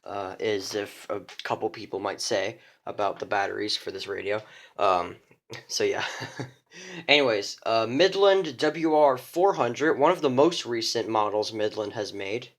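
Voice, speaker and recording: A man's voice: on the low side, unhurried. A young man giving a casual show-and-tell of his radio collection, rambling, with many fillers and restarts.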